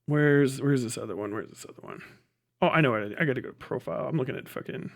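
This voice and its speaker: A man casually chatting and reacting on a livestream, with halting, slangy, profane speech. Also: medium pitch, creaky. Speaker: livestream host.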